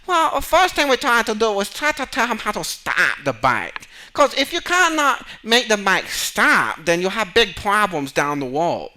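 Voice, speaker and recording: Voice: medium pitch, normal speed. A performer playing an exasperated male motorcycle instructor who speaks broken English, in a comedy sketch interview. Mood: earnest. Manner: broken English with dropped articles, excitable.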